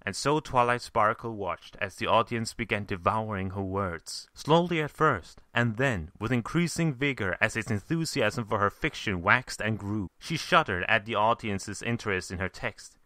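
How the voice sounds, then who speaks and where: A man narrating a story from a script in a measured, theatrical way. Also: fairly low pitch, pushed and bright, no room sound. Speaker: fan fiction reader, narrator.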